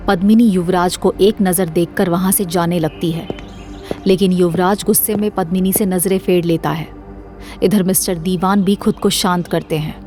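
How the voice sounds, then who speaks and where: A woman narrates a scripted audio drama. Her voice is a little low for a woman, and soft music plays behind the dramatic storytelling. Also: fairly even intonation, normal speed.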